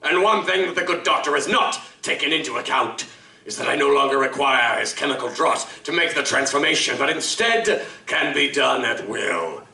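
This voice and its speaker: Savage, gloating male fiend for radio-style drama: gravelly, grandiloquent menace, forceful edge, recorded in a roomy live space. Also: medium pitch, animated, moderate pace. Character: savage, articulate monstrous male killer, gloating and contemptuous. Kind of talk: live audio drama acting. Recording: roomy live stage, distant mic.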